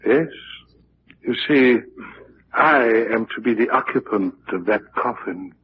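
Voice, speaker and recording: A man's voice: mid-range voice, expressive, takes it slowly. An actor playing a stubborn, wealthy father with a clipped, commanding manner in a 1940s radio drama. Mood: brusque, determined. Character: imperious wealthy father, stubborn and morbidly determined. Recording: dull, hissy 1940s radio recording.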